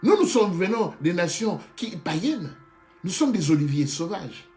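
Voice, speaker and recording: A man's voice: high-pitched, sing-song, normal speed, darkish tone, soft background music. A man preaching a sermon with passion, often stopping to restart and repeat phrases and calling his listeners beloved. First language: not Hebrew. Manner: keeps addressing listeners as beloved.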